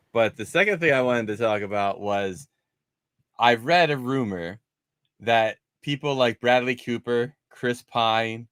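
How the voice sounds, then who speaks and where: A man's voice: mid-range voice, smooth, no echo, studio quality. A man hosting a casual panel discussion about films, talking in a rambling way and often changing tack mid-sentence. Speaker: host of a movie discussion show.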